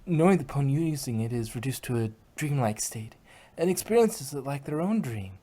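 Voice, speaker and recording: Woman as a husky, studious female unicorn pony. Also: a bass voice, animated, normal speed, warm tone. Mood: worried. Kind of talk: fan fiction audio drama reading.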